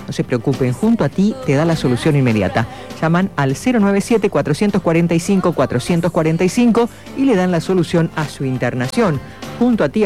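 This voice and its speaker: A man's voice: fairly high pitch, a little mellow. A man co-hosting a radio show, upbeat, chatting and reading an ad. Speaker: radio co-host. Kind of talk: radio chat and live ad read.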